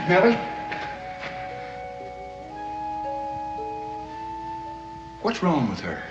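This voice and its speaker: An actor playing a plainspoken American frontiersman, blunt and quick to threaten. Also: high voice, full character voice. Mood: pleading. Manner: blunt, pleading then threatening. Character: plainspoken American frontiersman, protective and hot-tempered. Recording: roomy, dull old TV soundtrack with music.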